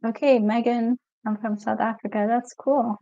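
A middle-aged woman from Ethiopia, a mental health coach hosting a live stream Q&A in a relaxed, chatty way, reading viewer comments aloud with many fillers. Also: on the high side, moderate pace, creaky, no room sound. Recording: dull lo-fi stream audio, dry.

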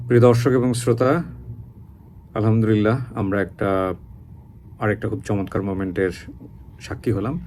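A man's voice: lowish voice, steady tone, a little fast, pauses often, mellow, slight background noise. A man with a Bangladeshi accent hosting a show, addressing guests and viewers. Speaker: show host.

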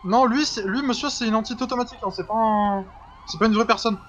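A man's voice: high voice, fast, a bit bright, lo-fi sound. A man role-playing a police officer in an online game, giving commanding orders. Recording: noisy online game voice chat.

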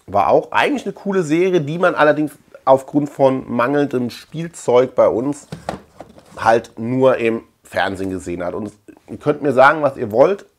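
A man in his thirties talking casually in a chatty, digressive way, thinking aloud as he hosts a collector vlog. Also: mid-range voice. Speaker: host of a collector video channel. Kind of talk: casual rambling vlog talk. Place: small indoor room lined with shelves.

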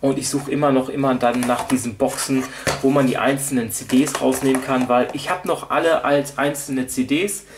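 A man's voice: mid-range voice, steady tone, moderate pace, noisy background. A man talking casually off the cuff in a show-and-tell vlog, rambling and hesitant as he thinks aloud. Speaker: vlogger showing audio drama collection. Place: indoors at a table, off camera.

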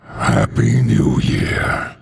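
An actor playing a cruel, imperious undead death knight king in a video game, with slow, menacing, commanding declarations in a deep gravelly rasp. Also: full character voice. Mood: imperious, solemn. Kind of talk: video game hero voice lines.

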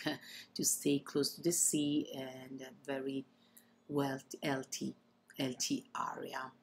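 A woman from Italy with an Italian accent narrates a property tour as an estate agent, in halting non-native English phrasing. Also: very deep voice, a little hiss or hum.

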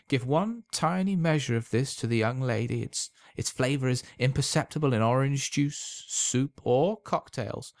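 A man voicing a sly, knowing old potion seller in a storybook reading, unhurried, insinuating and emphatic. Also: mid-range voice, no room sound, clean studio sound, pitched above the performer's own voice, natural voice. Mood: persuasive.

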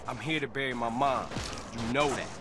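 An actor playing a weary, defensive young gangster in a video game, speaking curtly and warily in street slang. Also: male voice, mid-range voice, moderate pace, close to an everyday voice. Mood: flat, guarded. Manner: curt, wary, street slang. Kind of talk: video game cutscene acting. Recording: game audio with street and vehicle noise.

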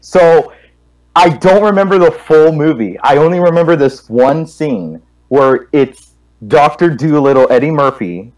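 A young man chatting casually and telling stories in a halting, repetitive way. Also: a little high, animated, a little mellow, poor audio. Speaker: guest co-host on a gaming stream. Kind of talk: casual group chat, rambling storytelling.

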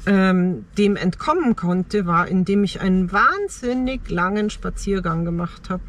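A middle-aged woman talking reflectively in short, halting phrases in a personal vlog, recorded inside a parked car. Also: medium pitch, slight background noise. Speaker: travel vlogger.

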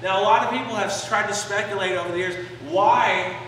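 A man in his forties preaching a sermon in a church sanctuary, explaining a passage with pauses on key phrases, recorded by a camera out in the pews. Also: sits high, steady tone, fairly bright tone, slight background noise. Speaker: pastor. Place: church sanctuary, indoors, medium-sized. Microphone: far camera in the pews. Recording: echoey church from far off.

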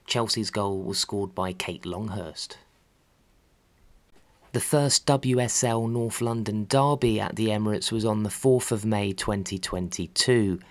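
Male narrator, fairly low pitch, scripted sports-history read, measured and factual. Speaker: narrator of a football history channel.

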